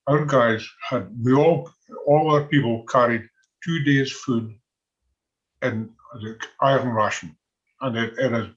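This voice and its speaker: An older man with a Scottish accent, speaking in a halting, conversational way as a military historian giving an informal talk. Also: medium pitch, fairly bright tone. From Scotland. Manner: halting, conversational, chuckles often. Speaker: military historian and former soldier. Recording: dull, lo-fi audio.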